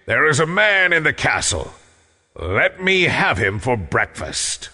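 A huge male storybook giant, performed by a man, bullying and hungry for people. The voice booms and commands, with slow delivery and big swoops in pitch. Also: big pitch swings, pushed and bright, long reverb tail, faint music behind, full character voice. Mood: demanding. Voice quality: booming. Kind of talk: storybook character voice.

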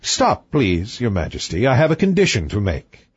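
A man voicing a calm, blunt young prince who speaks coolly, on a dull lo-fi recording. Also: fairly low pitch, normal speed, breathy edge, dry recording, pitched below the performer's own voice, full character voice.

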